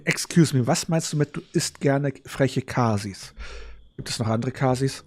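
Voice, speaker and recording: A man's voice: medium pitch, moderate pace, darkish tone. A man chatting casually as he hosts a live gaming stream.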